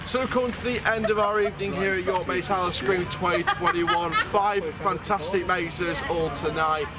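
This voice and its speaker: A man's voice: high voice, steady tone, normal speed. A young man, reviewing a Halloween event in a chatty, upbeat vlog at night outdoors. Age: young adult. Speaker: theme park vlogger. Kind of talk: casual vlog review and interviews. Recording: loud crowd and music outdoors. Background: loud music and crowd.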